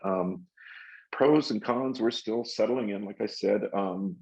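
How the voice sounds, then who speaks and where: Man, very deep voice, gravelly, relaxed, dull lo-fi sound. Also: takes it slowly. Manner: relaxed, hesitant with ums. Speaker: guest. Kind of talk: casual chat.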